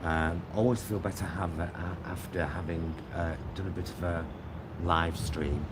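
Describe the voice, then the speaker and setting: A man with a notably low voice speaks in a casual vlog monologue. He is reflective and halting, feeling his way through each thought and checking it with small tag questions. Loud vehicle noise and ambience surround him as he talks. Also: fairly even intonation. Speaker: vlogger. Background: loud vehicle rumble and ambience.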